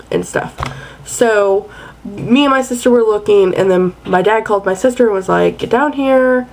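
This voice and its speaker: A woman's voice: mid-range voice, poor audio. Chatty young woman vlogging to a webcam. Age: young adult. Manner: chatty, rambling, playful. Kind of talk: casual chat to webcam. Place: bedroom at night, indoors. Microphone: webcam at close range.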